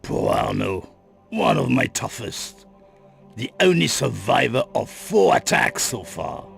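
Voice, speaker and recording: An actor playing a wary, menacing underworld boss in a video game, guarded and dismissive in manner. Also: male voice, lowish voice, big pitch swings, slow pace, creaky, a bit bright, soft background music, exaggerated voice. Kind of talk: video game cut-scene acting.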